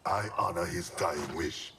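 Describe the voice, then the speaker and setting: Very deep, grim ninja. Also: male voice, unhurried, vocal fry, pushed and bright, a little hiss or hum, exaggerated voice. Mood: solemn, contrite. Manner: terse, solemn. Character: grim, honor-bound ninja warrior seeking redemption. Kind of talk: dramatic video game cutscene acting. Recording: music behind the dialogue. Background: music.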